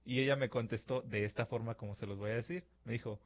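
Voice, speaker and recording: A man's voice: medium pitch, steady tone, fast. Mexican guy talking to listeners on a mic, chatty, acts out little back-and-forths, medium voice. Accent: Mexican Spanish. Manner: conversational, acts out imagined dialogues with filler words. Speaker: radio-style podcast host. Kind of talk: reflective monologue to listeners. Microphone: studio microphone.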